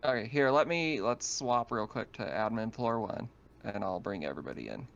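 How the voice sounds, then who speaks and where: A man game-masters a tabletop session in a casual, halting way. His voice comes over a dull, lo-fi online call. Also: fairly low pitch, animated, gravelly, a bit bright. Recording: dull lo-fi voice chat.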